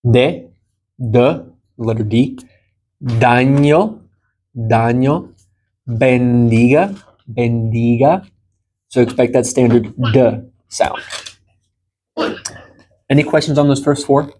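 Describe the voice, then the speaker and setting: A man's voice: mid-range voice, slow pace, long pauses, mellow, poor audio. A man in his thirties with an American accent, explaining things in an informal, encouraging way as a language teacher in a small room. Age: 30s. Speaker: Spanish teacher. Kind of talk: informal group language lesson. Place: small indoor room beside a large monitor.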